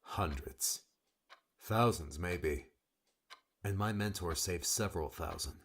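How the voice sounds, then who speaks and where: Audiobook role: weary, blunt male savior played by a man, very low and raspy, sardonic with a hidden soft spot. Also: slow pace, full character voice. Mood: exasperated. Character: weary, brusque male rescuer, frustrated, secretly caring. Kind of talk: dramatic audiobook character dialogue.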